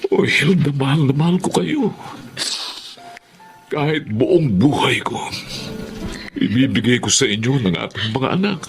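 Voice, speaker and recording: A man's voice: mid-range voice, animated, unhurried, long pauses, soft background music. An actor playing a devoted, hardworking middle-aged husband and father in an emotional radio drama, with a Filipino accent. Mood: tender, reassuring.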